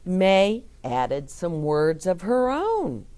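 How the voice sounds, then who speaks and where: A woman reading a picture book aloud to children, gently stopping to explain words and ask the listener questions. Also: on the low side, big pitch swings, extremely slow. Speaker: children's storyteller.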